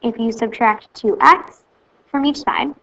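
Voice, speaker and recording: A woman teacher calmly explaining math steps in a recorded video lesson. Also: a little high, measured pace, creaky, dull audio. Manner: plain, steady step-by-step explaining.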